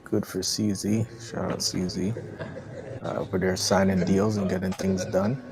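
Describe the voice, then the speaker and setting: Gravelly, very deep-voiced man livestreaming. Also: flat delivery, moderate pace, heavy background noise. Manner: slangy, repetitive, addressing the chat. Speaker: livestream host. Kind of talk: casual livestream commentary on news.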